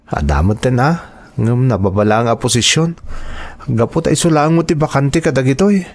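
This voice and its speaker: A man's voice: medium pitch, normal speed, natural voice. A man playing an insecure, downhearted young graduate in an audio drama, speaking in an earnest, plaintive manner. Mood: self-doubting. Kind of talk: dramatized family radio-style drama.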